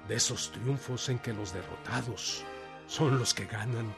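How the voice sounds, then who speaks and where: An actor playing a resolute, defiant Mexican revolutionary leader, declaiming emphatically in a radio drama. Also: male voice, mid-range voice, measured pace, creaky. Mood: indignant, defiant. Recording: music behind the voice. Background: music.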